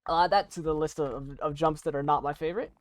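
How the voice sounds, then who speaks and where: A young adult chatting casually while playing and commentating on a video game, rambling in a halting, self-deprecating way. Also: medium pitch, dry recording. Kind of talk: casual gaming commentary and chat.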